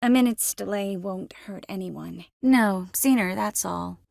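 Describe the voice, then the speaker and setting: Dry-recorded wry sorceress. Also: female voice, mid-range voice, unhurried, gravelly, darkish tone, no echo. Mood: firm, composed. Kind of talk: video game dialogue voice acting.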